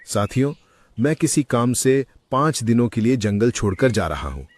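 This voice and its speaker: Regal but trusting lion ruler for a children's cartoon male actor, very expressive intonation, authoritative and caring. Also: medium pitch, normal speed, no room sound. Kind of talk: Hindi animated moral story acting.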